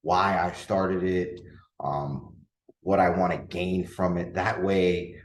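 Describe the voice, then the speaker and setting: Deep-voiced man, earnest rambling podcast talk. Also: level tone, slow, far from the mic. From Dominican Republic. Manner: earnest, rambling, lots of fillers and restarts. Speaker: podcast host and coach. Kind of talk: casual solo podcast monologue. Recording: echoey room.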